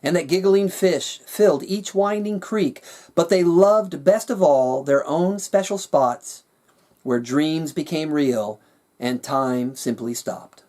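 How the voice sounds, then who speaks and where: A man narrating his own rhyming fantasy story with a measured, dramatic storyteller's delivery. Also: fairly high pitch, a little mellow. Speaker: author reading their own work.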